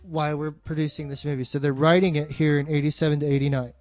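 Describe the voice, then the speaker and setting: Blunt, sweary higher-voiced man talking movies. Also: flat delivery, quick pace, a little mellow, no room sound, faint music behind. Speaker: podcast co-host discussing film. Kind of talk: casual film discussion from notes.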